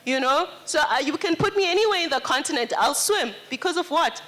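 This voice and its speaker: A woman's voice: sits high, expressive, a little fast, fairly bright tone. A woman panelist, speaking emphatically and energetically. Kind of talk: panel discussion comment.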